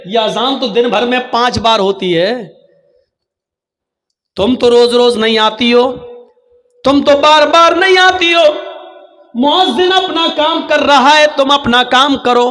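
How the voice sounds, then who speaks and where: Guy preaching islamic sermon, really high sharp voice, dramatic storytelling, declaims everything. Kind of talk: impassioned religious sermon told as a cautionary story.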